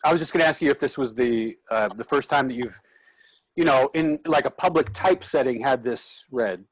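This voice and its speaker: A man's voice: mid-range voice, normal speed, husky, no echo, low-quality recording. A man, an actor chatting casually and asking questions after a play reading. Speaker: actor, colleague giving feedback. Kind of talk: casual chat.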